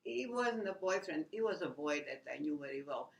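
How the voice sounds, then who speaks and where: Gravelly elderly woman. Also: sits low, animated, poor audio. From Latvia. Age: 80s. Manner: halting, many restarts and "you know" fillers. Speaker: oral history interviewee. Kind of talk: rambling personal recollection.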